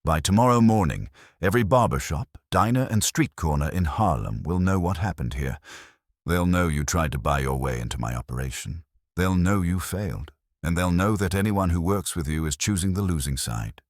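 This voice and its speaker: A man voicing a calm, calculating 1930s crime boss, terse and menacing, in a narrated story. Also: deep voice, moderate pace, dry recording, studio quality. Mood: coldly triumphant.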